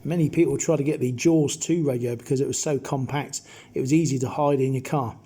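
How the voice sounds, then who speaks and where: A middle-aged or older man narrating a nostalgic retrospective in an informal, measured explaining way as a hobbyist presenter. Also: medium pitch, steady tone, warm tone, husky.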